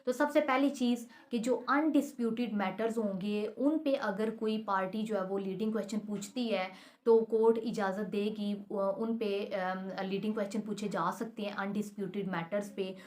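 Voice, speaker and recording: A woman's voice: medium pitch, fairly even intonation, moderate pace, darkish tone. A woman with a Pakistani accent explaining step by step in a lecture style, hesitating and repeating herself as she teaches. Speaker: law lecturer.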